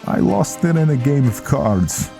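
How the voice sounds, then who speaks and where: A man's voice: on the high side, very animated, a little slow, creaky, over music, pitched above the performer's own voice, full character voice. A man voicing a loyal, roguish vampire knight vanguard in a theatrical, earnest manner for a game roleplay. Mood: sheepish, coaxing.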